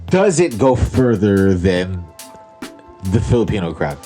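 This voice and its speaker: Animated man arguing his point on a podcast. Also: sits low, unhurried, soft background music. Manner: animated, argues with emphasis, asks questions. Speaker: podcast co-host. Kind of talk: casual chat.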